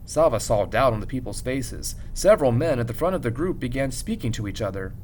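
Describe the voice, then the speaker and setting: A man reading a story aloud as a teacher would, in an expressive, measured narration with dramatic pauses. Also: mid-range voice, a little hiss or hum, poor audio.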